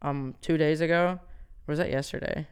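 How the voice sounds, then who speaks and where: A woman's voice: sits low, steady tone, normal speed, smooth. A woman co-hosting a podcast in casual comic chat, telling rambling stories in slangy, joking language. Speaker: podcast co-host.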